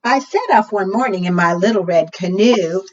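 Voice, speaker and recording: A woman's voice: mid-range voice, moderate pace. Distant-sounding woman reading to preschoolers. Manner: asks the young listeners questions. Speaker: children's storytime librarian. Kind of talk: expressive read-aloud storytelling to preschoolers. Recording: distant, dull lo-fi microphone sound.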